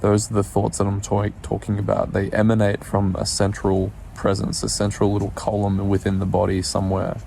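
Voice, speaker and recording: A man guides a meditation in a low, mellow voice with a gravelly fry. He speaks soothingly and takes his time, leaving space between gentle instructions. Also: completely flat delivery, a little hiss or hum. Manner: soothing, unhurried, with pauses.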